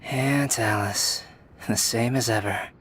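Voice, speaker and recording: A man playing a calm, dry, scholarly young man in a video game, with understated, formal delivery. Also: mid-range voice, takes it slowly, noisy background, natural voice. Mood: wryly fond.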